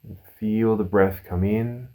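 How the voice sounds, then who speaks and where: A man leading a guided meditation as a mindfulness teacher, giving calm, soothing, unhurried instructions with pauses. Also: fairly low pitch, poor audio.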